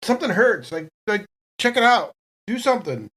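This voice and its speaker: A man's voice: high-pitched, big pitch swings, normal speed, fairly bright tone, husky, heavily acted. A man playing a whiny, restless male patient in a tabletop game, complaining in repetitive slang. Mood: whiny, uneasy. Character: whiny, panicky male patient Wild Bill, restless slacker.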